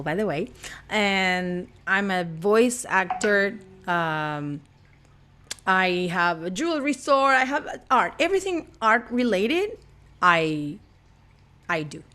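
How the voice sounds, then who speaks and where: A woman's voice: lowish voice, a bit bright. A woman from Argentina with an Argentine Spanish accent, chatting playfully as a podcast guest and laughing often. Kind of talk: casual chat.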